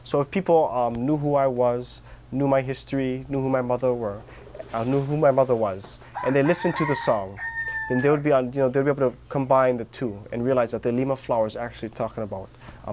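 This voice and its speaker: A man's voice: mid-range voice, a little mellow, a little hiss or hum. Young man from hawaii, earnest, sincere, fillers and restarts, outdoor patio, faint ambience. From Kauai, Hawaii. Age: young adult. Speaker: interviewee. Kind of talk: reflective personal interview.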